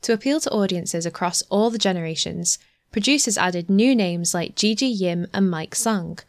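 A woman reads a news feature in a steady, measured voice, neither high nor low. Her script is delivered evenly into a close studio mic. The sound is dry, with no room heard. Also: dry recording. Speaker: news reader.

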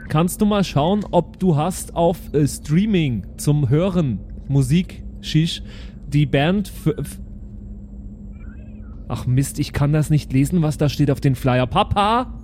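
A man playing a naive, excitable alien son in an improvised audio play, speaking childlike broken German with a repeated verbal tic. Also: fairly high pitch, slow, a little hiss or hum. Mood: insistent.

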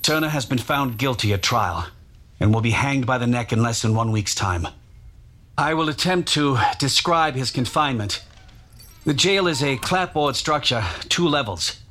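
A man playing a formal, deliberate nineteenth-century newspaper publisher reporting in a historical dramatization. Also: mid-range voice, bright, forceful tone, lightly acted.

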